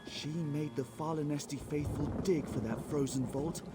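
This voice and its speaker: A man's voice: on the high side, fairly even intonation, moderate pace, over loud music, natural voice. An actor voicing a wry, informative male quest companion in scripted fantasy game dialogue. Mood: earnest, informative.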